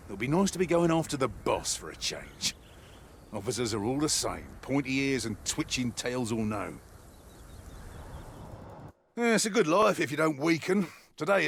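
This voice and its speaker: An actor playing a wry, world-weary mercenary soldier in a video game, with a colloquial, grumbling delivery. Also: male voice, mid-range voice, a bit bright, slightly rough, dry recording, full character voice. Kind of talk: scripted in-character mission briefing monologue.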